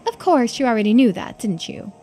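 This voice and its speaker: A woman voicing a teasing, shrewd investigator in a visual novel, with a knowing, theatrical, drawn-out delivery. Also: on the high side, expressive, warm tone, soft background music. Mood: probing.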